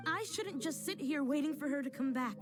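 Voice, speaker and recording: A woman's voice: high voice, brisk, music in the background, natural voice. A woman voicing a hopeful, determined young adventurer in a game, speaking plainly. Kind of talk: game cutscene voice acting.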